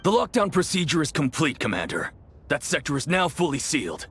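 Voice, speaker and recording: A man's voice: a little high, big pitch swings, brisk, fairly bright tone, a little hiss or hum. An actor playing a dutiful male soldier in a video game, reporting formally and deferentially to a superior.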